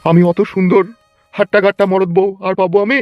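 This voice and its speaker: Fast-talking, wailing old village husband acted by a man. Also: high voice, little pitch movement, no room sound, natural voice. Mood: aggrieved. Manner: wailing, complaining, drawn-out pleading. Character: distraught old village husband abandoned by his wife. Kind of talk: comic village cartoon dialogue. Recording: dull lo-fi sound with music behind.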